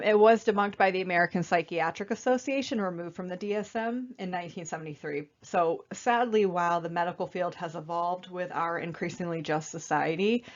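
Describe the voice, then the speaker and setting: Slightly raspy young woman presenting slides. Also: fairly low pitch, lo-fi sound. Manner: casual, conversational, frequent fillers. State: raspy, recovering from illness. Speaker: student presenter.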